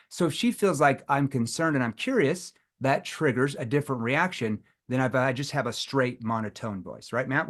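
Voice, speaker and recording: A middle-aged man leading a live sales training seminar, acting out prospect dialogue with pauses and rising tones. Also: medium pitch.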